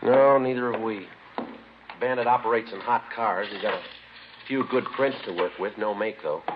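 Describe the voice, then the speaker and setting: On a muffled, hissy old radio recording, an actor plays a weary male police sergeant. He speaks low and quickly in terse, deadpan phrases, like a procedural report in a classic crime serial. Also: natural voice. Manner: deadpan, matter-of-fact. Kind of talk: 1950s radio drama acting, clipped procedural narration.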